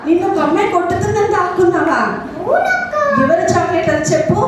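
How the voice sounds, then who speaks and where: A woman's voice: extremely high pitch, rapid-fire. A woman hosting a live children's puppet show, coaxing and playful, often putting questions to the children. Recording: distant echoey hall, loud children's crowd noise.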